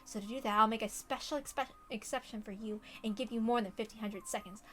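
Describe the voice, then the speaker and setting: A woman acts out a teenage boy seething with vengeful rage. The voice is fairly high for the part, and the delivery is intense, menacing and theatrical, like a visual novel monologue. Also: steady tone, brisk, a bit bright, slight background noise, natural voice. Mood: cold menace.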